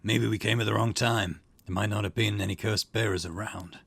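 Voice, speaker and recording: A man voicing a gruff, weary older chief detective from a visual novel, with a rough, terse delivery. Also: fairly low pitch, steady tone, brisk, fairly bright tone, no echo, exaggerated voice. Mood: grim, weary. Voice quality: gravelly, rough. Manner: terse, stern.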